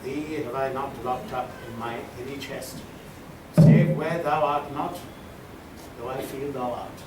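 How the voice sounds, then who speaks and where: A man reciting Shakespearean sonnets as a poetry reader, with deliberate, dramatic phrasing and pauses. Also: mid-range voice, fairly even intonation. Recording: roomy, distant, clearly noisy live recording.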